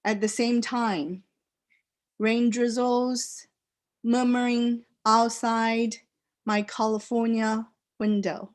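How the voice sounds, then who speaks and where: A poet whose first language is a Chinese dialect, speaking with a Chinese accent and reading her poems aloud in slow, deliberate phrases with pauses. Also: a little high, flat delivery. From China. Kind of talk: poetry reading. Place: live reading event.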